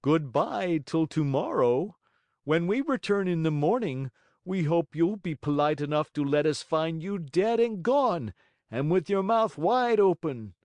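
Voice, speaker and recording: A man's voice: high-pitched, wildly swooping pitch, takes it slowly, no room sound, pitched above the performer's own voice, exaggerated voice. A man voicing two cruel male assassins who speak in growling, threatening taunts. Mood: menacing mocking.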